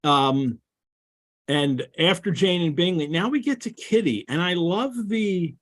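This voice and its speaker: A man's voice: high voice, animated, a bit bright. An older man talks over a video call, his voice rising and falling widely as he goes. He stops and restarts often, filling the gaps with uh and um. It is an easy, unscripted conversation about a book. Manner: halting, many fillers and restarts. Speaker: cohost. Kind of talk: casual chat about a novel. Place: home room with bookshelves.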